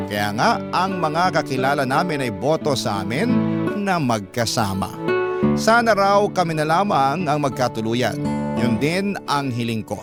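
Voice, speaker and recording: A man with a Filipino accent narrating a scripted first-person story as a radio host, in classic radio style. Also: a little high. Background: plucked guitar music.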